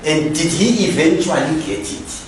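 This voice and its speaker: Zimbabwean male lecturer, slightly high, very lively delivery, heard from afar in an echoey room. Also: moderate pace. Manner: poses rhetorical questions, questions the audience directly. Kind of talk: public lecture to a live audience. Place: lecture hall. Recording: echoey hall, from far off, faint noise.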